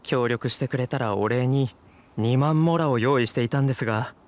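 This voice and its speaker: A man's voice: medium pitch, normal speed, close to an everyday voice. A man playing a worried, polite shopkeeper in an anime game, speaking in a pleading way. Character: worried, polite male shopkeeper who lost a ring. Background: game music.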